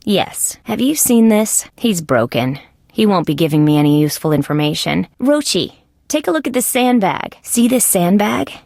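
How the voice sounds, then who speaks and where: Wry, inquisitive lady detective for an adventure game: deadpan sarcasm, gravelly fry, dry close sound. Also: medium pitch, moderate pace, no echo. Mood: questioning. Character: female insect police detective, wry, inquisitive, level-headed. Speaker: detective character in a video game. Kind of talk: scripted adventure game voice lines.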